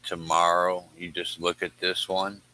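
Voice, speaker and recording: A man's voice: deep voice, little pitch movement, measured pace, pushed and bright, poor audio. A man chatting casually in short, informal remarks, with faint noise behind him. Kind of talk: casual chat. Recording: faint background noise and hum.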